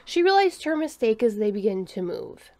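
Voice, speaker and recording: A woman's voice: a little high, sing-song, normal speed, a little mellow, no room sound. A woman narrating a scripted story as an audio narrator.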